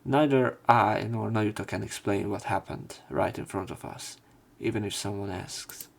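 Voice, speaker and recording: A man narrating a visual novel playthrough, reading story text and dialogue aloud with some halting pauses. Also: fairly low pitch, creaky. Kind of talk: scripted narration and character line reading. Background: game music, keyboard typing.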